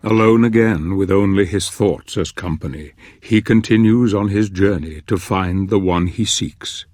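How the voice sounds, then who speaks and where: An actor playing a grave, brooding storyteller who narrates a dark fantasy game in a deliberate, dramatic way, with a husky voice. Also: male voice, low-pitched, no echo. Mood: grave, ominous. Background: music.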